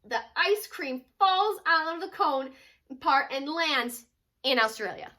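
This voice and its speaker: An aggrieved young storybook boy, acted out by a woman, bright and high in voice. He complains dramatically and whines with big swoops of pitch. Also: lively intonation, slow pace, full character voice. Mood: spiteful.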